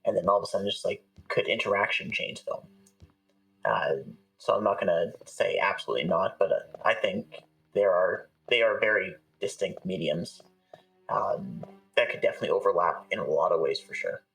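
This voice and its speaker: A man's voice: low-pitched, moderate pace, creaky, fairly bright tone, far from the mic, faint music behind, poor audio. A man chatting casually as an interview guest, with hesitant, thoughtful phrasing. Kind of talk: casual chat.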